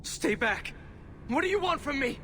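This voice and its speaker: A man's voice: very high voice, animated, moderate pace, fairly bright tone, in a large hall, a little hiss or hum. An actor playing a fearful, suspicious young male elf in a fantasy video game, frantic and pleading. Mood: terrified.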